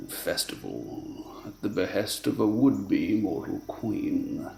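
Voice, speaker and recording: A man's voice: low-pitched, creaky, a little mellow, echoey room, full character voice. An actor playing a haughty, sinister fae king in a radio play, with theatrical, drawling disdain. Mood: grand, menacing.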